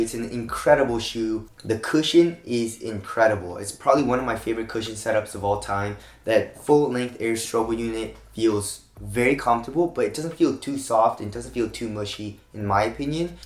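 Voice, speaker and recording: A man hosting a casual shoe review, talking straight to his viewers with a voice still slightly hoarse from a cold. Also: mid-range voice, roomy sound. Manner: chatty, addresses viewers directly as "you guys". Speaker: shoe review channel host.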